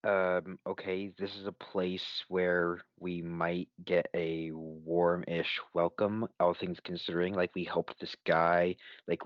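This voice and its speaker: A man's voice: low-pitched, no room sound. A man chatting casually as a tabletop player, explaining things in a hesitant, rambling way. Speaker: player in a tabletop role-playing campaign. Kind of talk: casual chat. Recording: dull, dry lo-fi voice audio.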